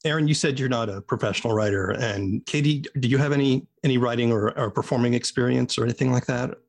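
A man's voice: medium pitch, fast talker, gravelly. An American man interviewing guests in a conversational style. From United States.

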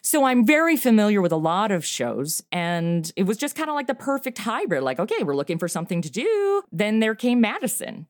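A woman in her forties chatting as an interview guest over a remote call, animated, with laughs and fillers. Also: medium pitch, a little fast, few pauses, dry recording, clean studio sound. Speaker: podcast creator and guest. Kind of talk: casual interview chat.

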